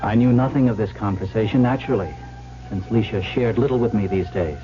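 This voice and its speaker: An actor playing a rueful divorced man who tells his story in a measured, reflective 1970s radio drama style. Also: fairly low pitch, close to an everyday voice. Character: rueful divorced man looking back, coin dealer. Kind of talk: 1970s radio drama, first-person narration. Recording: dull old radio broadcast with music.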